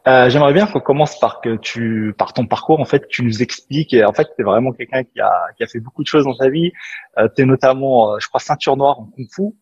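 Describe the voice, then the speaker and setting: A male podcast host with a husky voice, asking questions in a relaxed, halting way full of fillers. The sound is dry and a little dull, like a lo-fi home recording. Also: medium pitch, dry recording. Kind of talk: casual interview questions.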